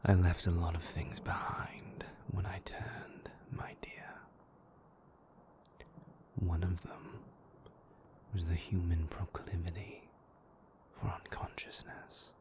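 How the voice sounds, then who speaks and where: Man as a low, whispering, brooding vampire. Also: level tone, a little mellow. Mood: hushed, soothing. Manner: formal, measured, dour phrasing. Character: moody, brooding male vampire lover, possessive yet tender. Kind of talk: intimate whispered roleplay audio drama.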